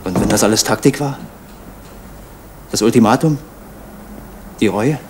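A man's voice: on the low side, measured pace, a little mellow, a little hiss or hum, close to an everyday voice. An actor playing a probing, skeptical male police officer in a radio crime drama.

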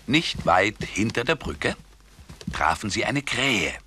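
A man's voice: on the low side, big pitch swings, takes it slowly, sharp tone, breathy edge. A man narrating a scripted children's story as the storyteller.

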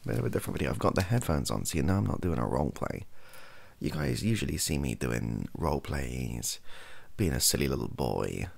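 A young man chatting softly in a rambling, self-deprecating ASMR style, hesitant and husky, close to the microphone. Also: very deep voice, lively intonation, brisk. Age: young adult. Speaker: ASMR video creator. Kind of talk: softly spoken ASMR chat. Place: small bedroom at a desk.

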